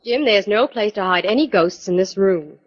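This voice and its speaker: A woman plays a detective's loyal assistant in a 1940s radio mystery. Her mid-pitched voice is forthright and full of curiosity. The vintage recording is muffled and lacking in highs. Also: lively intonation, moderate pace, lightly acted. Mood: curious, eager.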